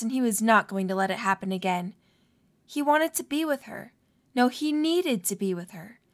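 Female narrator for a fan fiction audio drama, higher register, reading a scripted story. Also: fairly bright tone.

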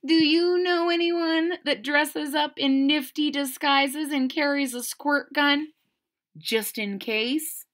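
A woman reading a picture book aloud expressively, pausing to explain and ask young listeners simple questions. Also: high-pitched. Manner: animated, explanatory, addressing children with questions. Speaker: reader of a children's picture book.

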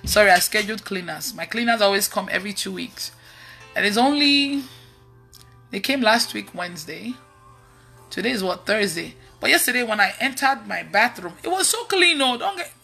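A woman in her thirties with a Nigerian accent, preaching casually online in an animated, emphatic way and repeating phrases. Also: a little high, vocal fry, breathy edge. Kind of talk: casual testimony-style preaching on a livestream. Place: indoors in a room at home.

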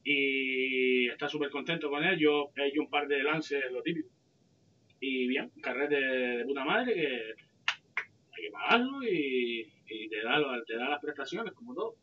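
A man's voice: mid-range voice, animated, a little slow, a little hiss or hum. A man co-hosting a fishing livestream, chatting casually over a video call and answering viewers' questions. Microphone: headset on a video call. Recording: dull lo-fi call audio.

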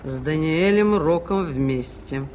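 An actress playing a brisk, sardonic, superstitious woman in a radio play, curt, dry and self-assured. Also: sits low, animated, a little mellow. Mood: ominous. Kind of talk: Soviet radio play acting. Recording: noisy 1980s radio recording.

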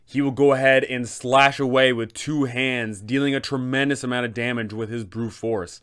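A man in his thirties hosting a tabletop game guide, telling the story in an emphatic, deliberate way. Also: mid-range voice, steady tone, fairly bright tone, smooth. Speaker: host and game master giving advice.

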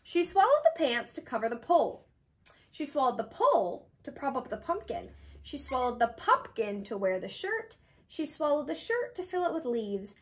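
A woman around her thirties reading a children's picture book aloud, expressive and sing-song, with drawn-out pauses for young listeners. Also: high-pitched. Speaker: read-aloud host, tutor. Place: small indoor room with bookshelves.

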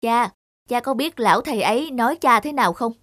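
A woman's voice: on the high side, brisk, fairly bright tone, pitched above the performer's own voice, natural voice. A woman voicing a spoiled, rude rich young man in a cartoon, whiny and scornful. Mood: sneering.

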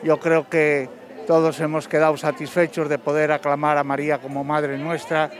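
A man with a Spanish accent, a bishop, speaking calmly and formally into a handheld microphone at an outdoor festival. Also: on the high side, normal speed, some chatter behind. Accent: Spanish from Spain. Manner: calm, formal and devout. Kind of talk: interview. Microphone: handheld reporter microphone.

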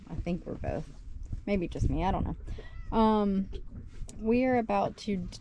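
A woman's voice: mid-range voice, background noise. Rambling woman vlogging from a boat. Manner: rambling, hesitant, trails off. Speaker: sailing vlogger. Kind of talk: casual vlog chat. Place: outdoors on a sailboat deck.

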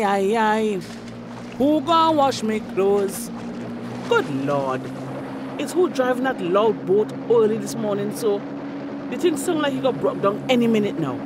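A nosy tobago village washerwoman, grumbly and exclaiming, swoopy high voice, boat engine noise behind. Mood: calling out. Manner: exclamatory, muttering to herself. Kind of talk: radio drama acting. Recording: noisy boat engine ambience.

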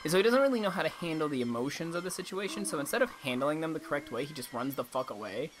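A young man with a slight lisp giving casual, rambling commentary over a video game, swearing freely and now and then breaking into silly high voices. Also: animated, rapid-fire, slight background noise. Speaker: let's play commentator. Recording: game music loud behind voice.

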